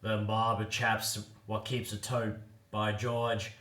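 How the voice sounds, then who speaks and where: Man putting on a loud, blustering bushman. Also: lowish voice, little pitch movement, takes it slowly, roomy sound, far from the mic. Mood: indignant. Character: hot-tempered rough bearded male bushman from the outback. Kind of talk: comic ballad character acting.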